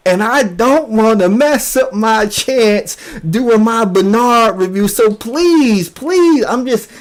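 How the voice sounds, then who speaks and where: A man's voice: sits high, lively intonation, takes it slowly. A man playing a timid, over-apologetic YouTuber who pleads and hedges, in a mocking commentary skit. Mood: nervous, placating. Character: timid, pleading male YouTuber, nervously tiptoeing around trouble.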